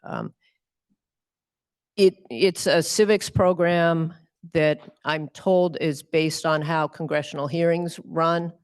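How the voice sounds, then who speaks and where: A middle-aged woman judge answering audience questions in a relaxed, wry, conversational way. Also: sits low, slow pace, clean tone, no echo, clean studio sound. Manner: relaxed, wry, with light laughs.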